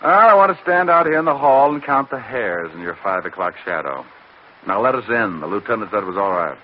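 An actor playing a shrewd, wisecracking private detective in an old radio drama, wry, needling and casual. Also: male voice, on the low side, big pitch swings, brisk. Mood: wry, breezy. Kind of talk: 1940s-50s radio detective acting and narration. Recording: muffled, noisy old radio recording.

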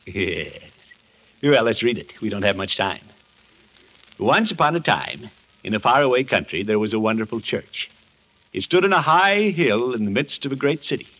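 An American actor playing a kindly, jovial middle-aged uncle in a 1950s radio comedy, with an avuncular, theatrical storyteller's delivery. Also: male voice, medium pitch, very animated, normal speed, a bit bright. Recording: muffled old 1950s radio broadcast.

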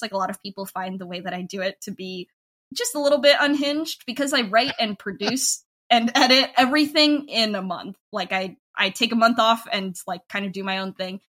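A woman in her twenties speaks as a guest in a relaxed interview. Her voice sits at a middle pitch. She talks informally and chattily, dropping "like" into her sentences often. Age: 20s. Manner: informal, chatty, heavy use of "like" as filler. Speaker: podcast creator and writer, interview guest. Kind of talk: casual conversational interview.

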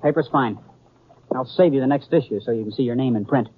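An actor playing a curt, resentful small-town American newspaper editor in a 1950s radio western. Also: male voice, medium pitch, quick pace, slight background noise, lightly acted. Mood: sullen, resentful. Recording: dull 1950s radio recording.

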